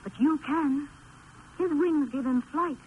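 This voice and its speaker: An actress playing a devoted, dreamy young wife, speaking tenderly and lyrically in theatrical 1950s radio drama style. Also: female voice, high voice, unhurried, narrow, tinny audio. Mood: lyrical, fervent. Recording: noisy old 1950s radio recording.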